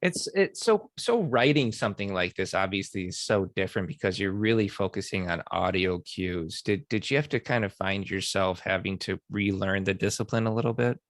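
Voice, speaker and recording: A man hosting a podcast, talking in a relaxed way with many fillers. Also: deep voice, normal speed, few pauses, dry recording, studio quality. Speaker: podcast host. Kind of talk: casual podcast interview.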